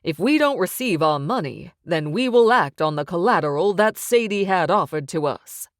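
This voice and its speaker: A woman's voice: fairly low pitch, expressive, normal speed, dry recording, clean studio sound. A woman voicing a smug, menacing male mob boss who talks with amused, sing-song menace.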